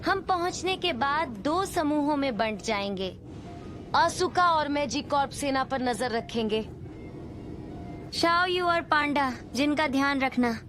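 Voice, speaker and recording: An actress voicing a composed female commander briefing a team in a dubbed video game cutscene, in a crisp, businesslike manner. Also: high-pitched, animated, a little slow, noisy background.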